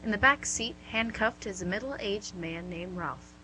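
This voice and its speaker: Bright-voiced woman narrating a radio play. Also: mid-range voice, measured pace, rough recording. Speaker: actress reading stage directions. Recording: hum and clear background noise.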